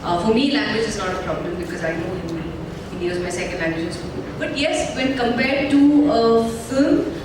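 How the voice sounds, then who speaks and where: An actress answering questions at a press conference in a chatty, upbeat way. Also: female voice, medium pitch, normal speed, hardly any pauses, distant mic. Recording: echoey hall, mic from afar, noisy.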